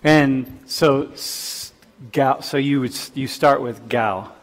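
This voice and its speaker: A man's voice: medium pitch, slow pace. Older male professor in an echoey hall. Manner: casual, chatty, questioning. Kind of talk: lecture with class interaction. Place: large lecture hall. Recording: reverberant lecture hall.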